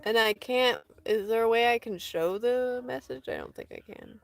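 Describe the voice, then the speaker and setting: A woman from Colorado chatting casually on a live stream outdoors in the desert, rambling, laughing often and dropping into soft asides. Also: fairly high pitch, moderate pace, creaky, dry recording. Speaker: van-dwelling traveler streaming live.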